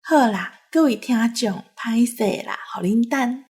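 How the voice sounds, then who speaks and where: A woman with a Taiwanese accent and a husky voice, hosting a show with banter. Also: a little high, animated, a little slow, darkish tone. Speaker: show host. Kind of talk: bantering radio show talk.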